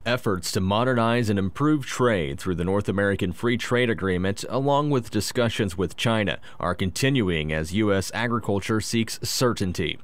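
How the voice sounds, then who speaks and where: A man reading scripted farm news in a measured, formal way. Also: on the low side. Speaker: news anchor.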